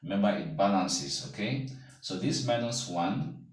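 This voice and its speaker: A man's voice: fairly low pitch, expressive, a little slow. A man tutoring a math lesson step by step, patiently checking whether the viewer follows. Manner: patient, checks in with "okay?" and "can you see that?". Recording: echoey room, mic far off.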